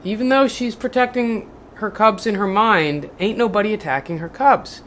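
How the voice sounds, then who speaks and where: A casual vlogger speaks at an everyday middle pitch and swings between rough, profane venting and a deliberate, lifted read-aloud voice. The talk rambles with fillers and restarts, and a faint hiss sits under it. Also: fairly bright tone. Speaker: video maker reading a book aloud.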